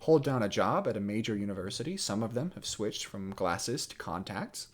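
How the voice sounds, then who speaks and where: A man's voice: on the low side, expressive, normal speed. A man playing a nervous, geeky young mathematician who rambles self-consciously and hesitantly in a contemporary stage monologue. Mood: awkward, nervous.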